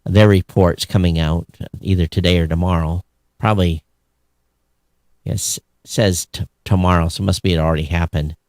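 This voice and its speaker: A man's voice: sits low, steady tone, moderate pace, darkish tone, dry recording. A man co-hosting a podcast, giving casual commentary on tech news and repeating phrases for emphasis. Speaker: podcast co-host.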